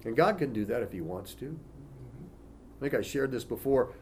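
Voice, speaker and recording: A man teaching as a pastor in a relaxed, conversational way, reading scripture aloud between informal asides and frequent "you know" fillers. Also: mid-range voice, normal speed, a little hiss or hum.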